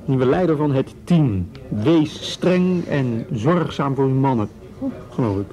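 An actor playing a young male police officer in a radio drama, calm and hesitant with a mild irony. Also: mid-range voice, slow pace, poor audio.

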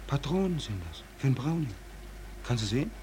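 An actor playing an embittered, hungry merchant seaman in a 1940s German radio play, speaking in a halting, brooding, insistent way. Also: mid-range voice, very animated, a little mellow, close to an everyday voice. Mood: alarmed, grim. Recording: noisy 1940s radio drama recording.

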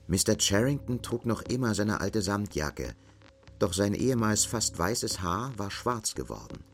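A man's voice: fairly low pitch, sing-song, measured pace. A man reading scripted literary narration as the narrator of a German radio play.

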